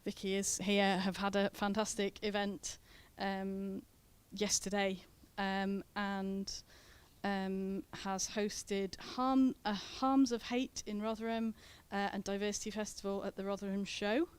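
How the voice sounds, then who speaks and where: A woman host speaks into a stage mic as she introduces a poet to the audience. She talks in a chatty way and often stops to correct herself. Her voice is clear and smooth, at a middle pitch. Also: fairly even intonation, unhurried. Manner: chatty, with many fillers and self-corrections. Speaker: event host. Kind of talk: introducing a performer at a poetry event. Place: indoor event venue.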